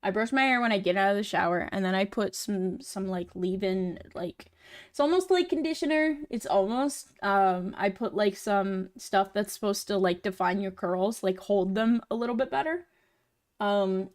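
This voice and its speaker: Young female streamer, feminine voice, casual rambling chat with many fillers. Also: mid-range voice. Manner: rambling, filler-heavy, chatty. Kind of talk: casual chat while working on a model.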